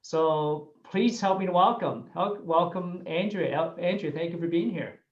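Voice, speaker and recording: A man's voice: high-pitched, normal speed. A man hosting a livestream interview, talking to viewers in an upbeat way and often repeating his words. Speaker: host of a Facebook Live show. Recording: echoey, muffled webcam audio.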